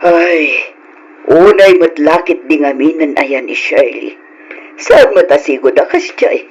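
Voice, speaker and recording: A man's voice: a little high, a little slow, slightly rough, poor audio. A man playing a concerned elder relative with an Ilocano accent, giving family advice in a radio drama. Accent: Filipino, Ilocano.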